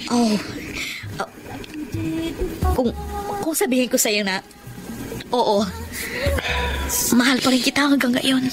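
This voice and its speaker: A tender middle-aged woman in a Filipino radio romance. Her voice is fairly high and sentimental, with emotional, hesitant delivery. Music plays clearly behind her. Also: takes it slowly, pauses often, poor audio. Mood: tender, overwhelmed.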